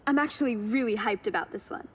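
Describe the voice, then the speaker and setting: A woman's voice: fairly high pitch, quick pace, husky. An actress playing a friendly, sincere young woman who is a car enthusiast in a video game. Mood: excited, friendly. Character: May, young enthusiastic, warm-hearted woman car hunter. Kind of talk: video game cutscene voice acting. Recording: engine and ambient noise behind. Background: vehicle sounds and ambience.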